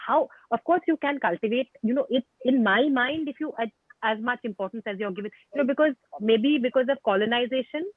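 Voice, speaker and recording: Brief: Indian woman, Bengali accent, frank guest speaker, mid pitch, thin phone-line sound, dry. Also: a little fast, dry recording. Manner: frank, emphatic, repeats phrases. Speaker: guest speaker on communication skills. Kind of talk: conversational advice on a video call. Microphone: video call. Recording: tinny phone-like call audio, dry.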